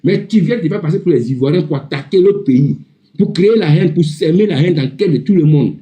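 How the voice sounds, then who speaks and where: Ivorian male, heated panel debater, somewhat high-pitched yet very mellow-toned, insistent, repetitive, informal delivery. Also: expressive, a little fast. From Ivory Coast. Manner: indignant, emphatic, repetitive. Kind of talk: heated informal political rant on a live audio panel.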